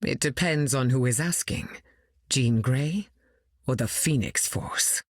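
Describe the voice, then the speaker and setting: Game role: a dignified heroine, very low and husky. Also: female voice, no echo, studio quality, full character voice. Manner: dignified, measured. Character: dignified weather-controlling heroine woman.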